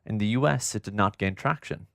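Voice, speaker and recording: A man narrating a scripted documentary in a formal, measured, literary way, close on the microphone. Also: lowish voice, creaky, fairly bright tone, no echo. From Ireland. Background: music.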